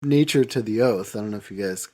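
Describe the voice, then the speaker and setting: A man's voice: fairly low pitch, fast talker, dark tone. A man hosting a podcast, talking casually about films in a hesitant, rambling way with lots of "you know". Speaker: podcast host. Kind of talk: casual film discussion.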